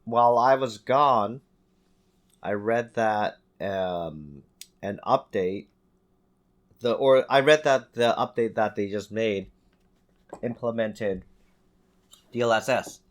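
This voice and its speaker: Low-voiced man in casual conversation. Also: takes it slowly, long pauses. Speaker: podcast co-host. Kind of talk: casual chat about video games.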